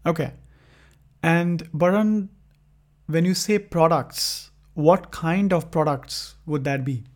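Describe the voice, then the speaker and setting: A man's voice: a little high, slow pace, darkish tone. A man hosting a podcast, reading a guest introduction and asking polite, formal questions. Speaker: podcast host.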